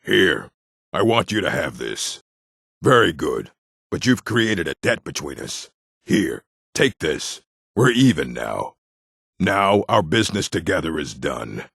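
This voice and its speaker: An actor voicing a gruff, proud male orc warrior in a fantasy video game, with a gravelly, husky voice and blunt, terse delivery. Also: sits low, sing-song, measured pace, fairly bright tone, no echo, clean studio sound, heavily acted. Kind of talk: video game character voice lines.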